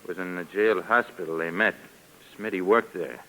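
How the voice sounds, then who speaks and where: An actor playing a tough, vengeful crime boss with hard-boiled slang in a 1950s radio drama. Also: male voice, low voice, normal speed, pushed and bright, poor audio. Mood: wary, hard. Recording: vintage 1950s radio recording.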